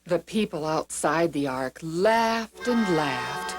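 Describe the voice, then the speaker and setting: Woman narrator, children's Bible tales: deep for a female voice, very slow, measured, scripted. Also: lively intonation. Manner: deliberate, measured phrasing. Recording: loud music behind the voice.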